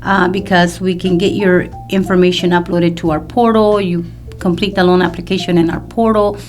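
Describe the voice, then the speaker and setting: A woman in her fifties from El Salvador speaks in a fairly low register. She talks as a podcast guest, explaining things in a loose, conversational way, with soft music underneath. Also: little pitch movement, moderate pace. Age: 50s. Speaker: mortgage loan professional, podcast guest. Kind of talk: casual interview conversation. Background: music in the background.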